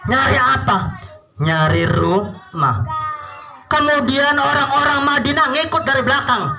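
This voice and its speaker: A male storyteller with a very high, bright and forceful voice performs religious tales for children. He repeats phrases and puts questions to his young listeners. Faint music plays behind him. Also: animated, unhurried, creaky.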